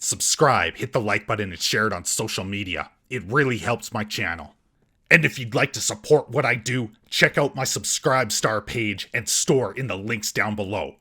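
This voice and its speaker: Male review host, lowish husky voice with a bright, punchy edge, sarcastic scripted comedy narration. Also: moderate pace. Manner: sarcastic, mocking.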